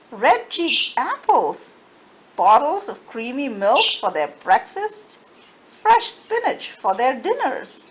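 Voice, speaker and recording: A woman reads a children's story aloud in a high voice that rises and falls a great deal. She takes her time, and there is faint noise in the recording. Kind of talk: expressive read-aloud narration.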